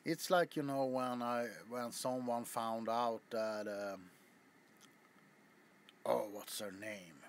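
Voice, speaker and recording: A man's voice: medium pitch, fairly even intonation, takes it slowly, pauses often, a little hiss or hum. A man chatting casually to his viewers as a video host, rambling with frequent stammers, restarts and casual swearing. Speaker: ASMR YouTuber.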